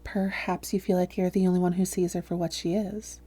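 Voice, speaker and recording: A woman playing a calm female vampire therapist in a roleplay audio, speaking softly, gently and in a measured, reassuring way. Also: on the low side. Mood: calm, probing. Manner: measured, gentle, reassuring, breathy hushed phrases. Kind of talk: soft-spoken roleplay audio, therapist addressing listener.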